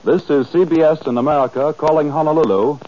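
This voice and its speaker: A man's voice: on the high side, steady tone, mellow. Formal male announcer on muffled 1940s radio. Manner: formal, measured calling.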